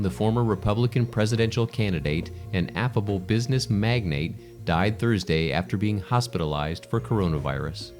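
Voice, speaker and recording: A man's voice: fairly low pitch, a little mellow. A man reading scripted news narration as a newsreader. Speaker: news reader. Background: background music.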